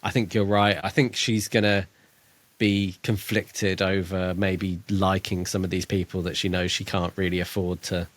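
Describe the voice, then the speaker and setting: Low-voiced man chatting on a podcast. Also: level tone, normal speed, no echo. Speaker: podcast co-host. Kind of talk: casual book discussion.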